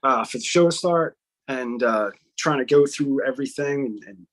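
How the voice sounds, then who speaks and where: A man's voice: medium pitch, normal speed, fairly bright tone. A man hosting a podcast, joking, swearing and rambling in a loose, casual way. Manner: profane, rambling, jokey. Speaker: podcast host.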